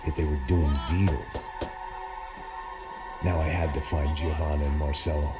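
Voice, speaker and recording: An actor playing a weary, cynical middle-aged ex-cop, narrating in a gravelly noir voice-over for a video game. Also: male voice, extremely low pitch, level tone, unhurried. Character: weary hard-bitten older male ex-cop. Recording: game audio with loud music.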